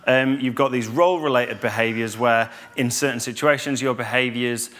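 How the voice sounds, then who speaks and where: Calm man giving a church talk. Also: medium pitch, moderate pace. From Yorkshire, England. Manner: calm, conversational with fillers and restarts. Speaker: church speaker.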